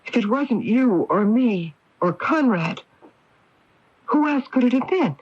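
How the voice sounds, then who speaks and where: Husky scheming wife, slow and dramatic. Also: female voice, a little high, expressive, a little mellow, no echo. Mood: alarmed, suspicious. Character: scheming, cold-blooded wife who poisoned her husband. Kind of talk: early 1980s radio mystery acting. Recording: muffled lo-fi 1981 AM radio recording.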